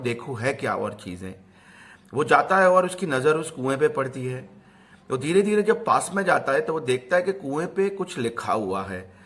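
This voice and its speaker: Male storyteller, medium pitch, informal motivational delivery, explanatory with repeated phrases for emphasis. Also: fairly bright tone.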